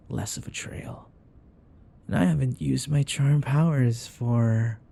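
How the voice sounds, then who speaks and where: A man voicing a world-weary, wary vampire in a breathy, gravelly, languid voice for an ASMR roleplay. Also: mellow, exaggerated voice. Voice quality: breathy, gravelly, low-key.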